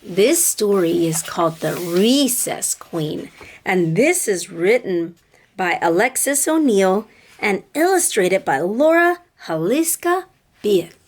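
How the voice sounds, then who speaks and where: A woman's voice: medium pitch, big pitch swings, slow pace. A woman reading a picture book aloud to children like a teacher, speaking to them directly with dramatic emphasis. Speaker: read-aloud storyteller, teacher-like.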